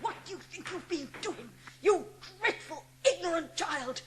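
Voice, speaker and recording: A woman's voice: very high voice, lively intonation, measured pace, very noisy. A woman voicing a cruel, haughty schoolmistress who scolds and accuses in a dramatised audiobook. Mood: furious.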